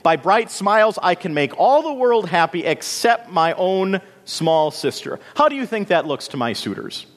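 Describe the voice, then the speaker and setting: A man's voice: high voice, big pitch swings, moderate pace, hardly any pauses, voice pushed up. A man voicing a vain, haughty marigold flower, a preening sister with an affected, emphatic way of talking. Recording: long reverberant hall sound.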